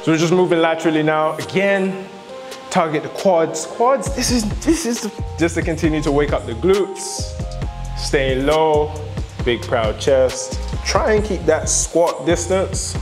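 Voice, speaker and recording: A man's voice: on the high side, expressive, unhurried. A young man hosting a workout vlog in a home gym, joking and coaching through the exercises on a lapel mic. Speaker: fitness vlog host. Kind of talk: casual workout vlog chat. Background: music.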